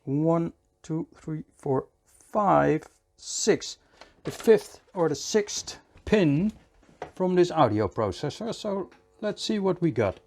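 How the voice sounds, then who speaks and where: A man giving a hands-on electronics repair tutorial in a measured, step-by-step way, often repeating short phrases. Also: a little high, lively intonation, darkish tone.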